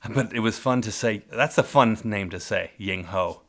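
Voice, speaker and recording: A middle-aged man telling old work stories in a casual, rambling way, often correcting himself mid-sentence, with a loud voice. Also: lowish voice, moderate pace. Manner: rambling, self-correcting, warmly nostalgic storyteller. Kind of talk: casual spoken memoir. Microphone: close mic.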